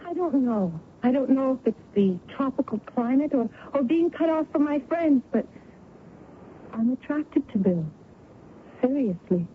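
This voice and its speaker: A woman's voice: sits high, expressive, measured pace. An actress playing a young woman in love in an old-time radio drama, earnest, hesitant and superstitious. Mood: hesitant, confiding. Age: young adult. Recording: muffled, band-limited vintage radio recording, faint noise.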